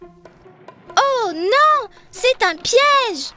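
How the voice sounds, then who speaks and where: A woman voicing a brave, curious little girl in a children's cartoon, eager and expressive as she explains things. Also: extremely high pitch, drawn-out words, soft background music, heavily acted. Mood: alarmed.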